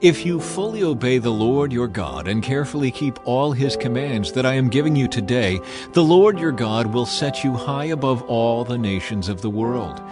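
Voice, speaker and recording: A solemn, commanding male prophet, voiced by a man, addresses his people in dramatized scripture. His mid-pitched voice is stern, declamatory and deliberate, with music clearly audible behind it. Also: natural voice.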